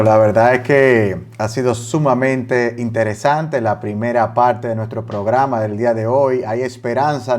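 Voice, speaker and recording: A man's voice: mid-range voice, darkish tone. A man hosting a talk program, summing up the discussion with enthusiasm. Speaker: program host. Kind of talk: studio talk show hosting.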